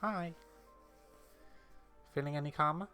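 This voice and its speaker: A woman's voice: low voice, measured pace, pushed and bright, faint music behind. A woman casually playing along with a video game and reading out dialogue.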